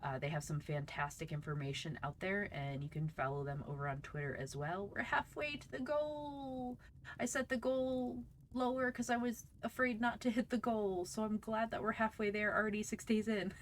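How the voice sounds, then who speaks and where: A woman's voice: mid-range voice, moderate pace, a little hiss or hum. A woman hosting a casual livestream, chatting to viewers in a bubbly, enthusiastic manner. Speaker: livestream host, VTuber; teacher.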